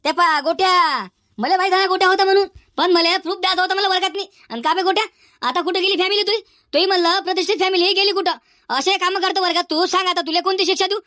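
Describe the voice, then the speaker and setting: A man voicing an exasperated village schoolteacher in a comedy cartoon, hectoring in a Varhadi Marathi accent through a pitched-up effect. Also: fairly even intonation, very fast, pushed and bright, dry recording, studio quality. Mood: triumphant scolding. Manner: hectoring, repetitive, threatening.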